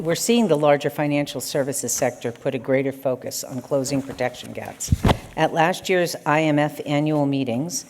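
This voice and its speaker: A woman's voice: sits low, darkish tone. A middle-aged woman moderating an onstage armchair conversation, reading formal prepared questions. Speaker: conference moderator. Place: conference stage.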